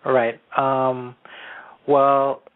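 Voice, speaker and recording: A man hosting an internet radio show, introducing his guest in a hesitant, casual way with many pauses. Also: mid-range voice, flat delivery. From Haiti. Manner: hesitant, many ums and restarts. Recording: tinny phone-line audio.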